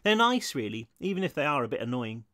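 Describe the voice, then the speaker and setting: A man's voice: mid-range voice, sing-song, fast talker, pushed and bright. A man voicing a cheerful, bossy little girl in a storybook reading. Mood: bossy, friendly.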